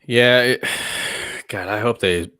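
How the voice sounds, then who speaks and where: A man's voice: medium pitch, slow pace, sharp tone, clear, resonant tone, no echo. A man chatting casually as a panelist, with a hesitant, loosely phrased way of talking. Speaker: panelist on a movie talk show. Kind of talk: casual chat.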